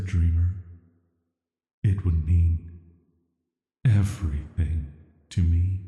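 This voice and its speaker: A man playing a banished, cursed villain in a sleep-aid audio drama, soft and hushed, with a flat, hesitant, measured delivery in atmospheric reverb. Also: low voice. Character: banished cursed male villain, soft, flirty, secretly dangerous dream visitor. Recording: echoing, long reverb.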